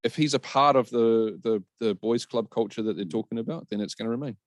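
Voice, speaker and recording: A man's voice: medium pitch, brisk, no echo. A man co-hosting a podcast, giving opinions in a casual, blunt and wry way. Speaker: podcast co-host.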